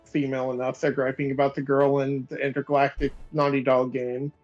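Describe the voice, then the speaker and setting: A man's voice: medium pitch, monotone, brisk, a little mellow, lo-fi sound, faint music behind. Blunt Missouri man in casual chat. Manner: blunt, dismissive of online griping. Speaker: podcast panelist.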